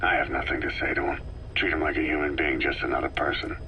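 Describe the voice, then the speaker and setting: An actor playing a grim, battle-hardened, stoic soldier boss in a video game cutscene, giving terse, clipped lines. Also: male voice, a bass voice, steady tone, fast talker, sharp tone, a little hiss or hum, full character voice, rough recording. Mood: stoic, curt.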